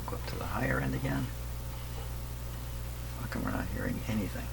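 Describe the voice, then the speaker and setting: A man's voice: on the low side, huge pitch swings, fairly quick, vocal fry. A man giving casual workbench commentary as he tests a radio, often muttering to himself as he goes. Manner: muttering to himself while working. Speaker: radio repair hobbyist presenting. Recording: noisy workbench recording with electrical hum.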